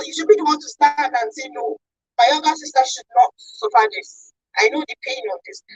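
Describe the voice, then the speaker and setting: A woman's voice: high voice, no echo, dull audio. A young woman with a Nigerian accent talking informally on a radio discussion, explaining emphatically and often checking she is understood. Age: young adult. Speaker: radio show guest explaining a topic.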